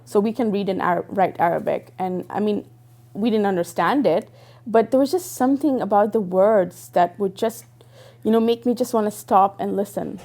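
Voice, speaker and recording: A woman's voice: medium pitch, moderate pace, warm tone. A young woman raised in Dubai, telling her personal story earnestly and reflectively, with frequent fillers and restarts. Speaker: interviewee. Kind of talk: personal testimony.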